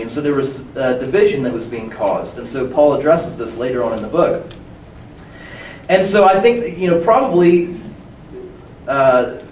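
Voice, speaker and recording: A hoarse young man preaching a sermon in a conversational, self-deprecating way, with many restarts. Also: fairly high pitch, darkish tone, slight background noise. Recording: echoey room from far off with faint ambience.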